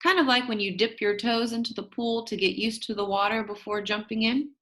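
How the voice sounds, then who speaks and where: A woman reading a children's storybook, voicing a brisk, jokey male substitute teacher who addresses his class. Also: a little high, a bit bright, lightly acted. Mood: instructive. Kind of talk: children's storybook read-aloud.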